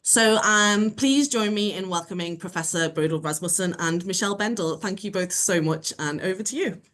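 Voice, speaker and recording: A woman's voice: mid-range voice, normal speed, fairly bright tone. A woman chairing an online seminar, giving a prepared, grateful and formal introduction of the speakers over a video call.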